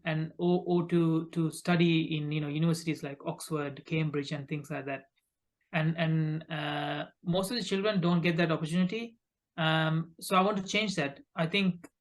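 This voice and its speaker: A man from Sri Lanka answers interview questions conversationally, often halting and repeating words mid-phrase. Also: a little high. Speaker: podcast guest, recruitment company founder.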